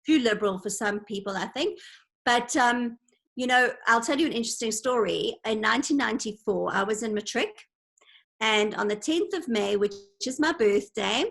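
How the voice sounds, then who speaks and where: A woman's voice: fairly high pitch, animated, normal speed, gravelly. A woman in her forties from Zimbabwe, telling stories in an earnest, reflective way as an interview guest on an online call. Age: 40s. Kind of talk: casual conversation. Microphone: video call.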